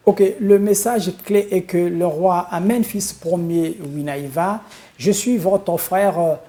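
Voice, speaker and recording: Mellow-voiced man preaching solemnly. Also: high-pitched, slow pace. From Congo-Brazzaville. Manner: solemn and emphatic, with many hesitant fillers. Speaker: spiritual leader and TV guest. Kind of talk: preaching-style answers in a studio interview. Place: TV studio.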